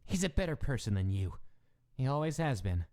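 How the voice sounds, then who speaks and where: Casting: loyal skeleton brother acted by a man, mid-range, very animated pitch, earnest and accusing. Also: a little fast, lightly acted. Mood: resolute. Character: protective, challenging skeleton brother, loyal and quietly fierce. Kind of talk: dramatic comic dub acting.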